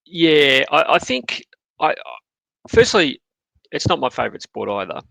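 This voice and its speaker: A man, a guest on a sports podcast, speaks casually and haltingly, often restarting his sentences. His voice is gravelly with fry. The recording is dry and close. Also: on the high side, sing-song, bright, forceful tone, no echo, rough recording. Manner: hesitant, restarts often, measured.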